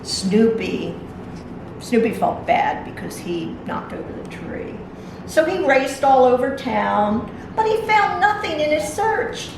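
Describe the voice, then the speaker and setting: A woman's voice: high voice, big pitch swings, slow pace. Woman reading to children, very echoey room. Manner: simple, explanatory, talking directly to young children. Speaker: library storytime reader. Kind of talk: reading a picture book aloud to children with asides. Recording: very echoey room, distant mic, noisy.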